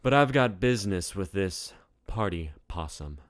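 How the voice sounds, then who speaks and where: A man's voice: sits low, animated, unhurried. A man voicing a mischievous alien shapeshifter woman in a story, with a brash, teasing, playful delivery.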